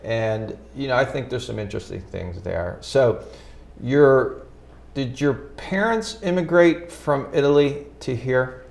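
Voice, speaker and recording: Male TV host, gravelly voice, friendly conversational questions. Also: mid-range voice, measured pace, darkish tone. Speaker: TV interview host. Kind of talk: casual interview.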